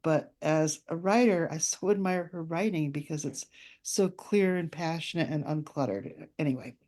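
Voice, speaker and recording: A woman speaking as a guest author in a conversational interview, telling stories in a halting, reflective way with pauses. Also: sits low, a little mellow.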